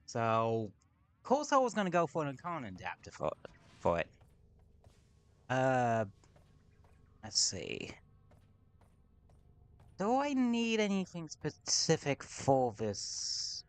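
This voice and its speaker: A man's voice: medium pitch, huge pitch swings, takes it slowly, pauses often, clear, resonant tone, no room sound. A man streaming a game, talking casually in rambling, sweary asides at home. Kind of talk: casual gameplay commentary. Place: home room with window open.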